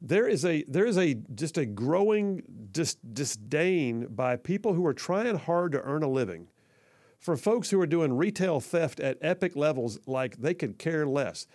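A man's voice: a little high, lively intonation, a little slow, a little mellow, no room sound, clean studio sound. A man from hosting a talk radio show, chatty and folksy, reading listener messages and doing ad reads. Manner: folksy and chatty, frequent fillers and restarts. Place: radio studio. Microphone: studio microphone.

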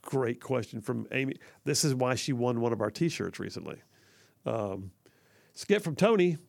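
A man from with a gravelly, fry-laced voice hosts talk radio in a folksy, rambling way. His voice sits at an average pitch and sounds close and dry in the studio, with no room around it. He restarts sentences often and fills gaps with "uh" and "um.". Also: lively intonation, normal speed, no echo. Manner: folksy and chatty, frequent fillers and restarts. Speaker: radio talk show host. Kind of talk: talk radio, reading listener messages and ad reads. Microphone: studio microphone.

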